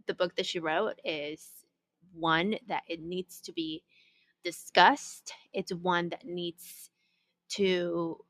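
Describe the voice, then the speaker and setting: A woman's voice: lowish voice, fairly even intonation, takes it slowly, fairly bright tone, no echo. A woman hosting a podcast, chatting casually, searching for words and laughing easily. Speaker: podcast host. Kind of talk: casual interview chat.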